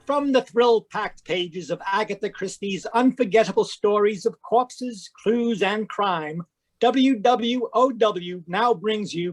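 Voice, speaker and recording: A man's voice: high-pitched, full character voice. A man announcing an old-time radio mystery show in a grand, declaiming style over a video call. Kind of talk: 1940s radio acting.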